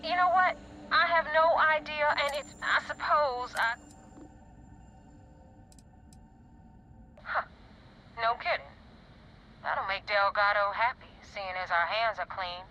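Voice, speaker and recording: An actress playing a tough, sardonic space pirate recruiter in a video game, blunt and threatening. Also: female voice, fairly high pitch, measured pace, sharp tone, slight background noise, rough recording. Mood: wry, exasperated.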